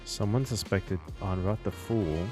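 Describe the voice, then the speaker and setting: A man giving excitable live reaction commentary as a streamer, exclaiming and thinking out loud. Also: on the low side, measured pace. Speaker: streamer reacting to a game story. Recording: game music behind. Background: game soundtrack and dialogue.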